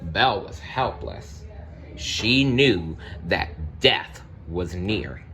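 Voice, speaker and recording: A man reading a storybook aloud as a narrator, in a dramatic, deliberate way with pauses. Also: low-pitched, big pitch swings, bright, forceful tone, a little hiss or hum. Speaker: storybook reader.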